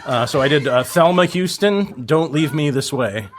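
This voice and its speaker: A man on a podcast panel talking casually and haltingly about music. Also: on the high side, lively intonation. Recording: faint music behind the voice. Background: faint music.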